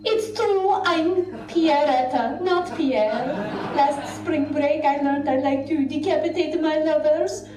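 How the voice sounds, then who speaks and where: A woman voicing a melodramatic, lustful praying mantis in a live comic puppet show, with theatrical, drawn-out delivery. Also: high-pitched, crowd noise. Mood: confessing. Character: melodramatic, hungry, lustful French praying mantis, posing as male. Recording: roomy live stage, distant mic.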